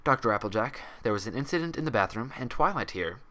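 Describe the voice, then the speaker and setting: A man's voice: mid-range voice, lively intonation, quick pace, bright, forceful tone. A man voicing a falsely sweet, menacing female pony nurse, saccharine and condescending, in a storybook reading. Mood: smug, brisk.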